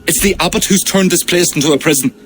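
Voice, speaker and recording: Cornered petty king for a game: anxious, somewhat high, animated, faint noise behind. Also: fast talker. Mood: fearful confession. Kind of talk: cinematic video game acting.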